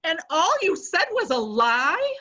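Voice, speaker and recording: A woman reading the part of a sharp-tongued elderly society lady who questions everything in a teasing, incredulous way. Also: very high voice, very animated, a little slow, exaggerated voice. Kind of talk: readers theatre reading.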